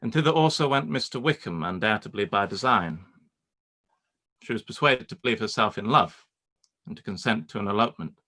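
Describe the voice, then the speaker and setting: A man playing Mr Darcy, a proud gentleman. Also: fairly low pitch, lively intonation. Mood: grave, earnest. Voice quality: formal, measured, stiffly polite. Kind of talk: live-read radio play acting.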